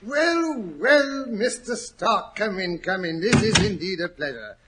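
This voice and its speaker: An actor playing a genial, easily puzzled older English gentleman doctor in a 1940s radio drama, chatty and a little hesitant. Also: sits high, animated, unhurried, heavy background noise. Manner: hesitant, chatty, old-fashioned exclamations. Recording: muffled, rough 1940s radio recording.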